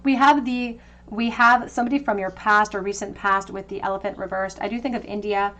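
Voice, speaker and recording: A woman reading tarot cards for her viewers, talking in a gentle, conversational, intuitive way. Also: medium pitch, steady tone, brisk. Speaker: tarot reader. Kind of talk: tarot card reading to camera.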